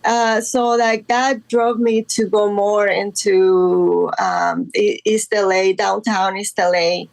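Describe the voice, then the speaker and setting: A woman from Guatemala, a guest artist, talking casually in an interview with many fillers and soft laughs. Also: medium pitch, fairly even intonation, takes it slowly, gravelly, hardly any pauses, no echo, poor audio. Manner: rambling, lots of fillers and laughs.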